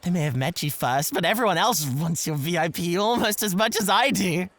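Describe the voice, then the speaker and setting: A man's voice: high-pitched, animated, brisk, fairly bright tone, heavily acted. A man playing a sly, manipulative club host and jealous suitor in an ASMR roleplay, teasing and flirtatious with theatrical emphasis. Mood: sly, persuasive.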